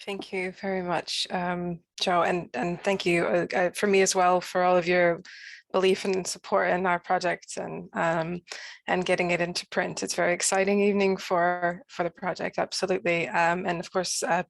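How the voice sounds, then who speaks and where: A woman poet speaking informally at an online book launch, halting and appreciative as she goes. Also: on the low side, vocal fry, no room sound. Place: online event.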